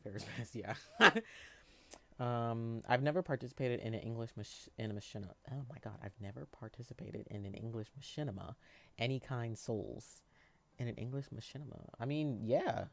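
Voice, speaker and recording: A man in his twenties chatting casually on a live stream, answering viewers in a rambling, halting way with lots of fillers and restarts. He has a youthful, boyish-sounding voice. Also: medium pitch, gravelly, sharp tone. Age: 20s.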